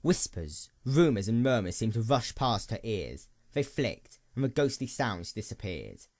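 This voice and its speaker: A man's voice: lowish voice, sing-song, a little slow, no echo. A man reading a dramatic scripted story as its narrator. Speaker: narrator, fan fiction reader.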